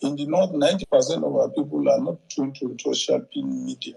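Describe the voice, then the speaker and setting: A man with a Nigerian accent giving unscripted political analysis in a halting, measured way, often repeating words. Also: on the high side, vocal fry, dark tone, breathy edge, poor audio.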